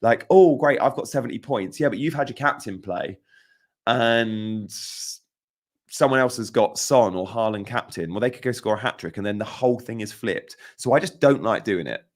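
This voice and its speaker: A young man with a croaky voice, casually chatting on a live stream about fantasy football and answering viewers' comments, emphatic and repeating his points. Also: lowish voice, normal speed.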